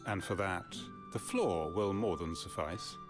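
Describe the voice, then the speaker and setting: A stern fantasy game innkeeper with a lowish voice. He is proper and unyielding, speaking formally and drily over clearly audible music. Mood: dry, firm. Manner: formal, measured, dry. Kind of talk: video game cutscene acting.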